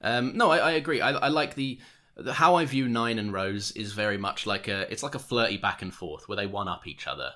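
A man's voice: fairly low pitch, fairly quick. A man co-hosting a casual podcast, laughing often and acting out characters' lines as he tells the story. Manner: laughing, animated storyteller who acts out lines. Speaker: podcast co-host. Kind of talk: casual chat.

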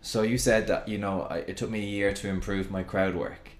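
Low-voiced host in an echoey room. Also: male voice, fairly even intonation, fairly quick. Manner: thoughtful, hesitant with fillers. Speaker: podcast host, teacher. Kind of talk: casual interview chat. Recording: roomy echo.

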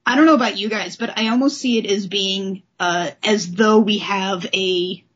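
A woman podcast host talks in a chatty, enthusiastic way at an ordinary pitch. Her voice is a bit breathy and rough-edged, and the audio sounds slightly muffled and lo-fi. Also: fairly even intonation, moderate pace. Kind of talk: casual comic book discussion.

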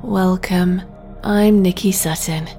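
A woman hosting a guided sleep meditation, speaking in a soft, breathy, soothing and deliberate way. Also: on the low side, fairly even intonation. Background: music.

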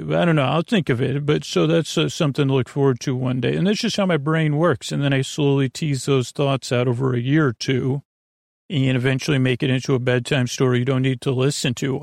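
A man's voice: mid-range voice, creaky, a little mellow, no room sound, studio quality. A man hosting a bedtime podcast, talking in a meandering, hesitant, soothing way that keeps drifting into digressions. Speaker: podcast host. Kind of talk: rambling bedtime story podcast monologue.

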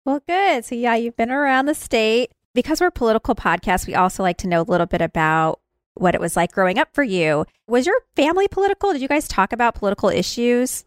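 A woman's voice: fairly high pitch, expressive, fast, no echo, studio quality. A woman hosting a podcast in an informal, chatty and self-deprecating way. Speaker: podcast host. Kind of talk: chatty podcast intro and interview.

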